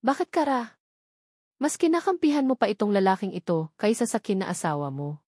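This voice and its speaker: A woman voicing a hot-tempered, commanding billionaire husband with a forceful, demanding manner in a dramatised novel reading. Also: medium pitch, expressive, fairly quick, slightly rough, dry recording, clean studio sound. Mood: angry, jealous.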